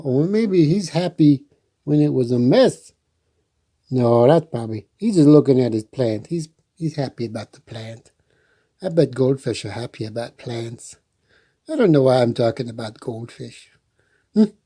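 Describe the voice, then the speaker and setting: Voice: mid-range voice, dark tone. A storytime host reading a picture book aloud and adding playful, rambling, chatty asides.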